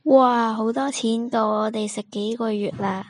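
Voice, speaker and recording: Woman playing a naive, highish-voiced hapless thief. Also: fairly even intonation, a little slow, no room sound. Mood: excited. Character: naive, hapless, timid hungry petty thief. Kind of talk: stage play acting.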